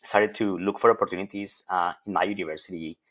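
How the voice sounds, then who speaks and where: A man's voice: low voice, fairly even intonation, fast, fairly bright tone. A middle-aged man from Colombia, a professor, answering interview questions thoughtfully with frequent hesitations and repeated words. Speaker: associate professor of plant evolution.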